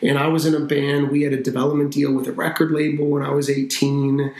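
A man in his thirties from Canada, a company founder talking about his life in a casual podcast interview, relaxed and chatty with lots of fillers. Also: fairly high pitch, brisk. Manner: relaxed, talkative, with frequent fillers and restarts. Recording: roomy echo.

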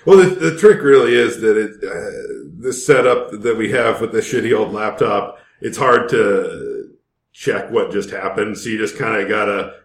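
A man co-hosting a podcast, with a lowish voice and a dry, wry way of talking. The recording carries a roomy echo. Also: moderate pace. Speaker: podcast co-host. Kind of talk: casual chat.

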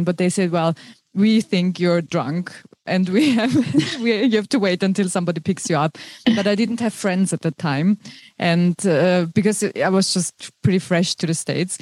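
A woman with an Austrian German accent tells her stories in a relaxed podcast chat, often laughing along as she goes. Her voice sits in a middle range and sounds close and dry, with no room around it. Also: medium pitch, no room sound. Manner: laughs through her storytelling. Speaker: comedian guest. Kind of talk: casual chat.

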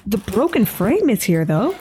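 A woman's voice: medium pitch, big pitch swings, normal speed, dark tone, a little hiss or hum, close to an everyday voice. A woman playing a curious, teasing, skeptical character in an audio drama. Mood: confused.

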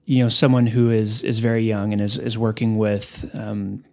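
A man's voice: fairly low pitch, level tone, moderate pace, darkish tone. A man interviewing a guest, asking long, thoughtful questions that he often rephrases mid-sentence. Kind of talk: oral history interview.

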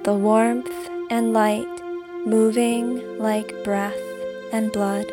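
A woman guiding a meditation as scripted narration, calm and soothing, with deliberate phrasing. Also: fairly high pitch. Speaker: meditation guide and podcast host. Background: music behind the voice.

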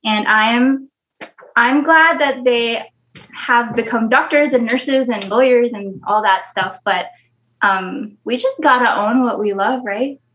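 A woman's voice: on the high side, a little slow, fairly bright tone, poor audio. A young woman from the Philippines, a guest talking candidly in a casual interview, with frequent laughs and a Filipino mother tongue. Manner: candid, chatty, laughs often.

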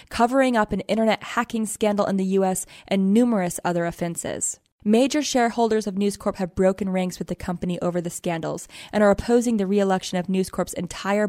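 Female news presenter, scripted radio read, medium pitch, very dry close studio sound. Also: darkish tone, no echo.

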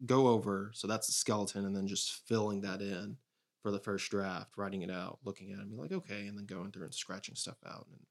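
A man's voice: on the low side, level tone, brisk, vocal fry. A man chatting casually as a guest in a comedy interview, rambling and joking as he corrects himself. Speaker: comedy podcast guest, sketch writer.

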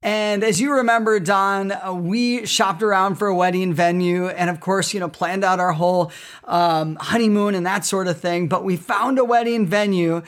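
A man's voice: high voice, steady tone, moderate pace, fairly bright tone. A middle-aged man, talking casually and effusively as a podcast co-host.

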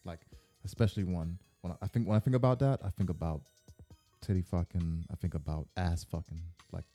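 Male co-host, low mellow husky voice, swinging intonation, slangy and crude, kicking back on a casual podcast. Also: steady tone, a little fast, soft background music. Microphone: podcast microphone, sometimes off-mic.

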